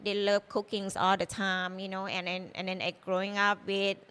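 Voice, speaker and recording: A Thai woman in her thirties, speaking English with a Thai accent, talks easily in a relaxed interview. Her voice is smooth and clear at an ordinary middle pitch. She strings her thoughts together with plenty of "like" and "you know". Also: normal speed. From Thailand. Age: 30s. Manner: chatty and loose, full of "you know" and "like". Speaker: chef and guest. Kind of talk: casual interview.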